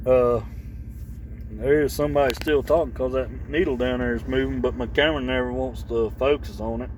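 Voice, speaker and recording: A man's voice: medium pitch, steady tone, a little fast, a little mellow, rough recording. A man giving a casual, rambling, folksy hands-on demo of gear, recorded on a camera mic in or beside a vehicle outdoors. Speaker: vlogger demonstrating radio gear. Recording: clear background noise, car and outdoor sounds.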